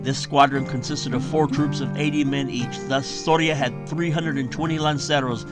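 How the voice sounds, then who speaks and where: A man's voice: medium pitch, steady tone, moderate pace. A man reading his historical western novel aloud as a theatrical, emphatic audiobook narrator. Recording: guitar music behind the voice. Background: plucked guitar music.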